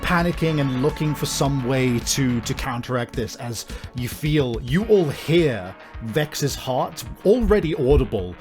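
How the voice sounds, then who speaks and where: A man narrating a horror tabletop game as game master, describing things vividly with hesitant pauses. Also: medium pitch, animated. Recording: music bed behind the voice.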